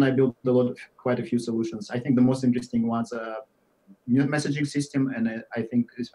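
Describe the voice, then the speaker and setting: A man in his forties speaks with a Russian accent and a dark, mellow tone. He explains things haltingly, with plenty of uhs and restarts, and his voice sinks quieter as sentences trail off. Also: mid-range voice, low-quality recording. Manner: halting, many fillers and restarts, drifts quieter at phrase ends. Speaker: guest software engineer. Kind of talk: podcast interview answers.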